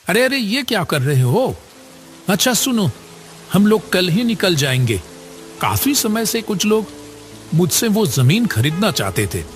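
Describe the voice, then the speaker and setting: A man's voice: high voice, very animated, measured pace, soft background music. A man voicing a kindly, generous older village headmaster in a cartoon story, chatty and avuncular. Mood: generous, reassuring. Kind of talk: Hindi cartoon story acting.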